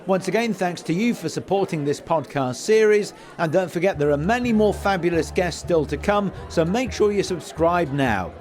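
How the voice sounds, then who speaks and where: A man's voice: high-pitched, animated, normal speed, hardly any pauses, a little mellow, soft background music. A man hosting a podcast and interviewing guests on location at an awards event. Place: inside a theatre at an awards event.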